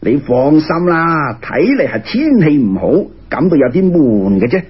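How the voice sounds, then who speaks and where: A man's voice: a little high, sing-song, unhurried, slightly rough, pitched below the performer's own voice, heavily acted. A man with a Cantonese accent voicing a proud, protective old male dragon in a storytelling tale, boastful and emphatic. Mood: reassuring. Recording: muffled lo-fi radio recording.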